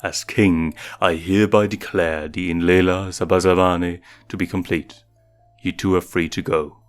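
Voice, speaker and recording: A man voicing a calm, proud lion king and father in a measured, regal manner for a story. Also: sits low, close to an everyday voice. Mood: solemn, regal. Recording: music behind the voice.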